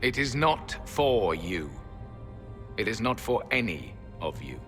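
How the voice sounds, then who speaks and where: Game elf sentinel: proud, solemn, archaic diction, lowish pitch, faint music behind. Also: male voice, sing-song, a bit bright, heavily acted. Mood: grave, weary. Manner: formal, archaic, measured phrasing. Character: proud, weary, ancient elven sentinel, stern and solemn. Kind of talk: dramatic video game acting. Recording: long hall reverb, music underneath.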